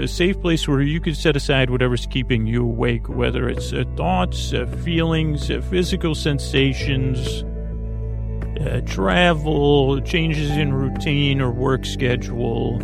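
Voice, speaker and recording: A man hosting a bedtime podcast in a creaky, soothing voice, meandering haltingly through tangents and interrupting himself to lull listeners to sleep. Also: medium pitch. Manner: soothing, lulling. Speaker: podcast host. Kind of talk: rambling bedtime monologue to help listeners sleep.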